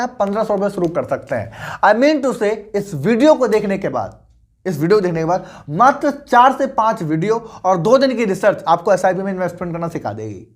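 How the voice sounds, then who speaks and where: A young man in his twenties coaching on investing, talking persuasively and often checking that the listener is following. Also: high voice. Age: 20s. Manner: persuasive, mixes English into Hindi, asks the listener questions. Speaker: finance coach. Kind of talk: motivational finance lesson.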